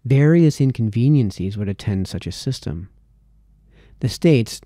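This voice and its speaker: A man reading a formal political essay aloud in measured, deliberate phrasing, with the occasional stumble over a word. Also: mid-range voice, mellow, dry recording, clean studio sound. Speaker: amateur reader of historical texts.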